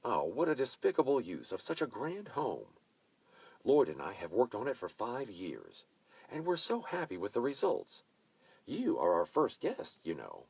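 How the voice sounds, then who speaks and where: A man's voice: mid-range voice, wildly swooping pitch, gravelly, a little mellow, husky, no room sound, voice pushed up, exaggerated voice, low-quality recording. A man putting on a gushing, bossy older hostess. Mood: indignant. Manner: brisk, commanding, gushing. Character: bossy, effusive mature woman hostess, gushing.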